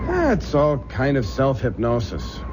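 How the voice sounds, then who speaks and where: Young American groom, wry, slangy, skeptical, animated delivery, old radio drama, noisy recording. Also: male voice, medium pitch, slow pace. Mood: dismissive. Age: young adult.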